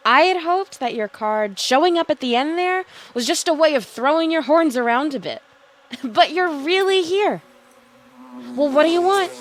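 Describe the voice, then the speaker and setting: A woman's voice: very high-pitched, a little hiss or hum. A woman playing a blunt, slyly coaxing tarot reader in an audio play, with a theatrical manner and pet names for her client. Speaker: actor.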